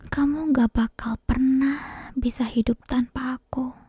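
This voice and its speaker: A woman playing an obsessive, possessive yandere kidnapper in an ASMR roleplay, whispering slowly and breathily with menacing sweetness, close to a binaural microphone. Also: high-pitched, steady tone, mellow, husky, dry recording, exaggerated voice. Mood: possessive devotion. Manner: slow, lingering, menacingly sweet delivery. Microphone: close binaural ear microphone.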